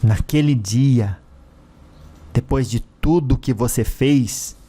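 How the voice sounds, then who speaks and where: A man's voice: medium pitch, warm tone. A man voicing a cruel, vengeful dead husband in an audio story, a mocking spirit with a sneering, menacing manner. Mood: bitter, accusing. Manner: menacing, sneering, with drawn-out villain laughs.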